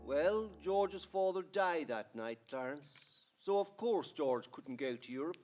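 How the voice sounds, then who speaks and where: A man's voice: a little high, expressive, unhurried, music in the background. An actor playing a kindly, plain-spoken heavenly man telling a story in an old-time radio drama. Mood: calm, storytelling.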